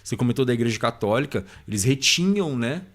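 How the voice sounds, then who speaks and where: A man's voice: medium pitch, expressive, quick pace, fairly bright tone. A man with a Brazilian accent hosting a podcast in a casual chat style, informal and slangy. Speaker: podcast host.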